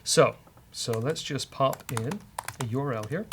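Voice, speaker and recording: A man's voice: medium pitch, unhurried, noisy background. A man hosting a casual programming walkthrough, thinking aloud in a wry, hesitant way. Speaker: host, programming instructor. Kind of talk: casual live-coding walkthrough.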